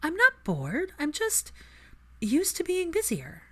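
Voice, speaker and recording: A woman's voice: extremely high pitch, huge pitch swings, slow pace, sharp tone, voice pushed up, exaggerated voice. A woman voicing an earnest, busy-minded young woman in an audiobook, eagerly explaining herself. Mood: defensive.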